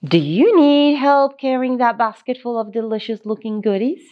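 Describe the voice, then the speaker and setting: A woman's voice: fairly high pitch, animated, moderate pace, muffled recording, close to an everyday voice. A woman voicing a sly, hungry storybook wolf with a silly, mock-sweet manner. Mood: sly flattery.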